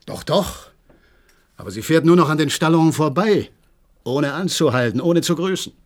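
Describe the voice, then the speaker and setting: A man's voice: on the high side, animated, normal speed, lightly acted. A man acting in a German radio play as an agitated horse trainer, eager and deferential as he gives a dramatic account. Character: agitated horse trainer reporting a mystery, deferential male servant.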